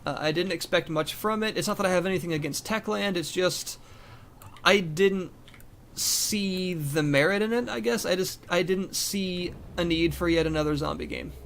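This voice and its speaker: A man with a slightly raised voice talks through a game review in a loose, rambling way. His voice sounds a little raspy and worn, as if strained from talking. A faint hiss sits under the home mic recording. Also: high-pitched, normal speed, a bit bright. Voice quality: rambling, with restarts and fillers. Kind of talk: casual gameplay commentary.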